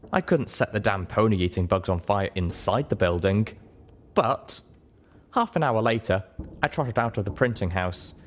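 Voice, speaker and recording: Low-pitched man narrating a story. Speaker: audiobook reader. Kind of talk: dramatic first-person story narration.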